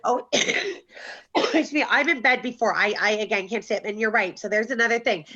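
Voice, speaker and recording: A woman's voice: fairly high pitch, moderate pace, bright, forceful tone. A woman hosting a casual group video call, chatty and laughing often, recorded from home. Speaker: host. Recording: slightly dull video call audio.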